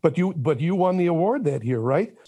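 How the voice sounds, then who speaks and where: A man's voice: fairly high pitch, animated, brisk, dark tone. A man hosting an interview, asking chatty, friendly questions. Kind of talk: conversational interview.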